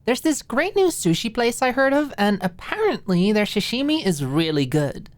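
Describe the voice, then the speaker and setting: A woman voicing a bubbly, breezy young woman in an audiobook, gushing casually to her housemate in chatty, excited phrases. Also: a little high, normal speed, dry recording, pitched above the performer's own voice. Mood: breezy, upbeat. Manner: casual, gushing, peppered with filler "like". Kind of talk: audiobook character dialogue.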